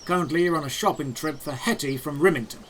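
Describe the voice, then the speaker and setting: Man playing high-pitched, unflappable old knight spymaster. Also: slight background noise, close to an everyday voice. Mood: matter-of-fact. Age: older. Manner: measured, emotionless, matter-of-fact. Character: calm, blank, all-knowing old male knight spymaster. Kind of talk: fantasy audio drama dialogue.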